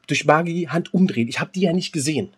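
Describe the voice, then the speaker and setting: A man with a husky, fairly high voice. He chats casually as a podcast co-host, excitable and repeating himself as he explains things. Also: fast. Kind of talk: casual chat.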